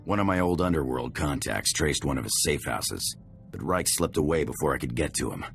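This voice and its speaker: An actor playing a gruff, guarded ex-soldier rogue in a video game, gravelly, clipped and curt. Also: male voice, a bass voice, animated, a little fast, soft background music, heavily acted. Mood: brooding.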